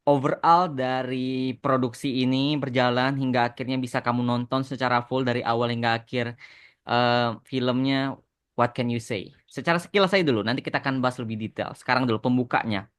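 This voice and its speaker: A man's voice: medium pitch, fairly even intonation, fairly quick, fairly bright tone, clean tone, dry recording, studio quality. An Indonesian man hosting a casual podcast interview, repeating short phrases and slipping in bits of English. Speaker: podcast host and animation creator.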